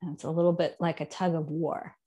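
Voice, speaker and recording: A woman in her thirties teaching a seated yoga class from her living room, giving calm, unhurried cues with soft trailing endings, picked up by a camera set some distance away. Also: sits low. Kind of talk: guided yoga instruction.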